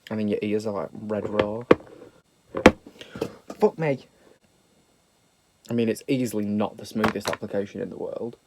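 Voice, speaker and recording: A man's voice: on the low side, steady tone, normal speed, creaky, pauses often, very noisy. A young British man with a slightly nasal voice from a sniffle, chatting casually and self-mockingly through a makeup tutorial. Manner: sweary, camp and self-mocking. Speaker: makeup vlogger.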